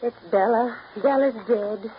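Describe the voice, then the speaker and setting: A woman's voice: fairly high pitch, unhurried, natural voice. An actress playing a fretful, vague, genteel middle-aged mother, languid and plaintive, in 1930s radio drama style. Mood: anxious. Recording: muffled noisy 1939 radio broadcast.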